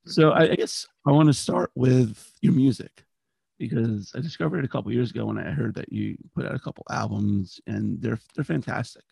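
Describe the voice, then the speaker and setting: A man's voice: mid-range voice, brisk, gravelly, a little mellow, breathy edge, no echo. A man hosting a podcast interview in a casual, enthusiastic way while he is losing his voice. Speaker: podcast host.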